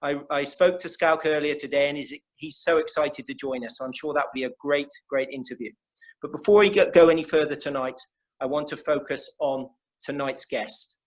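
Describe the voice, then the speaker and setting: A man's voice: fairly high pitch, normal speed, low-quality recording. Middle-aged Englishman hosting an event. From England. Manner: earnest, repeats phrases and restarts. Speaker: host. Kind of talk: event host introduction.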